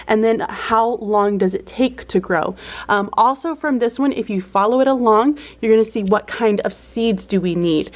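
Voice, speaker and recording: A woman's voice: medium pitch, darkish tone. A young woman teacher talking casually to her handheld camera in a classroom, upbeat and chatty, her voice a bit stuffy from sinuses. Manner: chatty, upbeat, says "y'all" and "like" often. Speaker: elementary school teacher. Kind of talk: casual vlog talk to camera. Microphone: handheld camera, no tripod.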